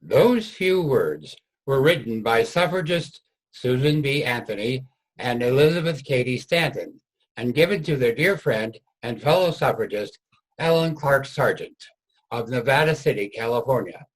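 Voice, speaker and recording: A man's voice: medium pitch, expressive, normal speed, rough recording. A man narrating a history play from a script in a reader's theater production.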